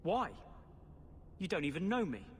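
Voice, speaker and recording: A man's voice: sits high, sing-song, moderate pace, big-room sound, a little hiss or hum. A man playing an earnest young half-elf mage in video game dialogue. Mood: pleading.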